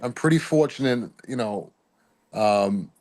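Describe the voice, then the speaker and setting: A man's voice: medium pitch, animated, unhurried, darkish tone. A man in a casual group chat, speaking in a thoughtful, earnest way.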